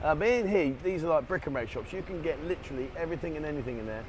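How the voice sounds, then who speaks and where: A man's voice: fairly high pitch, animated, racing. A man chatting casually, rambling and swearing now and then as he rides a motorbike through town with a handheld camera. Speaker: vlogger. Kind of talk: casual running commentary. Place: outdoors on a motorbike in town traffic, rain. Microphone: action camera held in hand. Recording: street and traffic noise behind.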